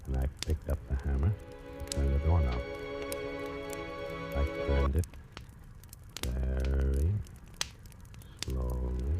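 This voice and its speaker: Desperate plotter confessing in halting suspense, very deep voice, 1940s radio thriller with heavy music underneath. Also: male voice, steady tone. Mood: hushed, nervous. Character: tense, desperate man plotting a murder in first person. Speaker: first-person narrator and lead actor. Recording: old radio recording, loud dramatic music.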